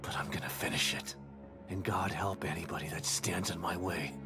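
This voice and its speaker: Casting: male actor as grim, guilt-worn game hero, very low voice, terse and brooding, over a musical score. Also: steady tone, moderate pace, lightly acted. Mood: bitter resolve. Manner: brooding, terse, often dropping to a breathy murmur. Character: grim, guilt-ridden middle-aged male survivor hunting his enemy. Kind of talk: cinematic video game acting. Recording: music under the dialogue.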